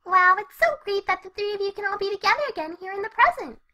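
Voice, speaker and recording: A woman voicing a perky, excitable young party pony who narrates a fan fiction story in a girlish, bubbly, chatty way. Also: quick pace, exaggerated voice. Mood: chirpy. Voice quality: very high, girlish.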